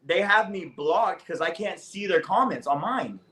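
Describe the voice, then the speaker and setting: A young man from Los Angeles whose first language was Spanish, talking in a slightly raised pitch. He jokes and trips over his words, quick and animated, as a podcast co-host. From Los Angeles, California. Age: 20s. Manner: animated, joking, quick restarts. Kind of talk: casual podcast chat.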